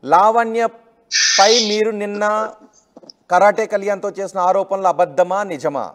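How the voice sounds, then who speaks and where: Male interviewer, high-pitched and quick, insistent questioning, faint noise behind him. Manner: insistent, repeats pointed questions. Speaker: news interviewer. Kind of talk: probing news interview.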